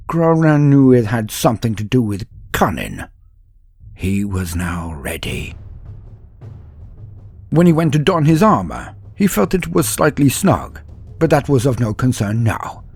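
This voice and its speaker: A man narrating a comedic mock-epic fan story in a grandiose, lively storytelling manner with dry asides. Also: mid-range voice, slightly rough.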